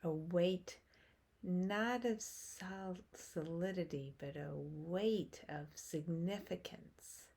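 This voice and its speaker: A woman guiding a meditation as a teacher, speaking in short phrases with long pauses and hushed endings. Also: lowish voice, vocal fry. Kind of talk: guided meditation, slow spoken instruction.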